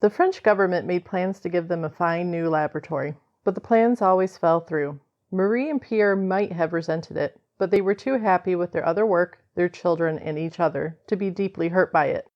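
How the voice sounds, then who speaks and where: A woman's voice: on the low side, moderate pace, hardly any pauses, natural voice. A woman reading scripted dramatic narration as the narrator of an old time radio show. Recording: dull lo-fi sound.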